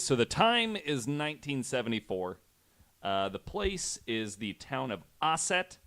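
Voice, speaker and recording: Low, smooth-voiced man telling a story casually. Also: fairly bright tone. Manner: halting, informal, sweary. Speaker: podcast host.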